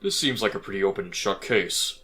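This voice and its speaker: A man's voice: fairly low pitch, expressive, a little fast, sharp tone. A man voicing an aged, somewhat flustered male judge in a fan audio drama. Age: older. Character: aged, fussy male judge, easily swayed.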